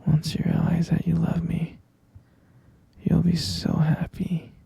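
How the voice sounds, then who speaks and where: A hushed, heavily creaky murmur from a possessive kidnapper boyfriend acted by a man in an intimate ASMR roleplay. The delivery is slow and breathy, coaxing a captive lover with syrupy tenderness and obsessive devotion. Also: on the high side, completely flat delivery, dry recording, heavily acted. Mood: soothing, obsessive. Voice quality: breathy, fry-laden murmur. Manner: slow, coaxing, saccharine pet names.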